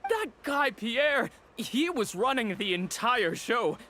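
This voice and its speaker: High jumpy cowardly smuggler, stammery and pleading, swoopy voice, music behind. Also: male voice, sing-song, slow, sharp tone, slight background noise, exaggerated voice. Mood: confessing. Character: cowardly stammering male smuggler caught by guards. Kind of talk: game voice acting.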